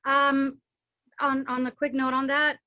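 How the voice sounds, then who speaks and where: A woman with a high voice hosts a casual podcast chat, lively and quick to jump in. The sound is dry and a little dull, with no room around it. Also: no room sound. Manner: lively, chatty, quick to chime in. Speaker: podcast host.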